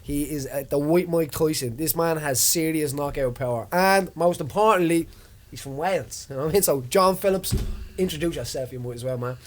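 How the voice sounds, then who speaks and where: A man's voice: on the high side, a little fast. A man hosting a casual chat show, interviewing his guest with plenty of restarts and laughter. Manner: stammering restarts, laughs often. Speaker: host.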